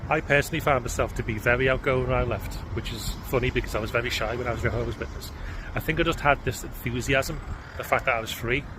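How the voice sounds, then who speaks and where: A man's voice: medium pitch, fast talker. A man from the United Kingdom giving casual advice in a conversational way, with frequent ums and restarts. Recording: loud outdoor background noise.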